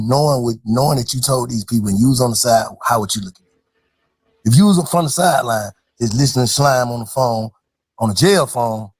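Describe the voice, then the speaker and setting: Fast-talking man pressing with questions. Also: mid-range voice, a little mellow, breathy edge, no echo. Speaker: podcast host. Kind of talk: casual interview.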